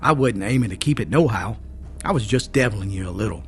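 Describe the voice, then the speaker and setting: A man's voice: on the low side, lively intonation, fast talker, soft background music. A man voicing a sly, hot-tempered older male mountaineer with a drawl in a storybook reading. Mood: teasing. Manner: drawling rural dialect, rough and loud. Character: angry old male mountain moonshiner, sly joker.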